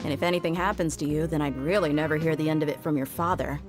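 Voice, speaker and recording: A woman's voice: low-pitched, fairly even intonation, quick pace, over music, close to an everyday voice. A woman playing a brash, grudging female bodyguard in a video game, blunt and dryly teasing. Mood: exasperated.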